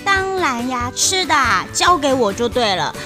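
A woman's voice: high voice, very animated, a little slow, over music. A woman co-hosting a children's event promo, explaining a snack-making activity in an eager, bubbly way. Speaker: co-host who teaches snack making.

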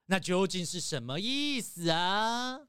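A woman playing a whiny, petulant fox who is quick to quarrel, in a children's audio drama. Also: lowish voice, expressive, a bit bright, clean tone, no echo, clean studio sound. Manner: whining, petulant.

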